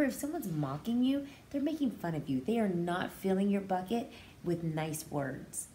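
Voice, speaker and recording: A woman reads a picture book to young children in a mid-pitched, very animated voice. She pauses to explain words and feelings simply, as a preschool teacher does. There is audible noise behind her. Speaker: pre-K teacher reading aloud.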